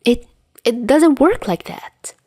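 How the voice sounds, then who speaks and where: A woman's voice: a little high, animated, slow pace, mellow, slightly rough, no echo, studio quality, pitched above the performer's own voice, full character voice. A woman voicing a flustered young man who sputters and protests indignantly in an audiobook-style story.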